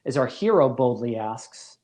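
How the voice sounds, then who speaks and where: A male lecturer with a medium-pitched voice reads a scripted academic talk. He phrases things formally and moves through each thought in a measured, deliberate way. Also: expressive, darkish tone. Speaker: lecturer, professor.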